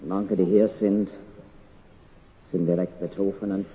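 An older man from Canada with an English accent, his first language English, preaching a sermon in an earnest, slow and deliberate way with halting pauses. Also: low voice, flat delivery, dull audio.

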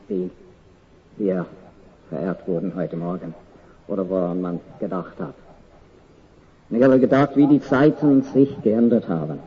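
Older man, English accent, from Canada, earnest deliberate sermon, halting pauses, muffled lo-fi sound. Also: lowish voice. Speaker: preacher.

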